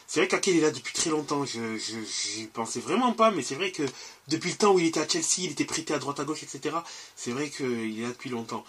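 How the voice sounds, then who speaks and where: Male podcast host, bright and husky, halting chatty delivery. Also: mid-range voice. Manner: hesitant, many fillers and restarts. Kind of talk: casual football chat.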